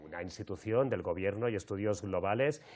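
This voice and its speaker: A man with an accent from Spain hosting an interview, wordy and halting as he rephrases his questions. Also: on the low side, darkish tone. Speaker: radio or TV show host. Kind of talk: live interview, introducing guests.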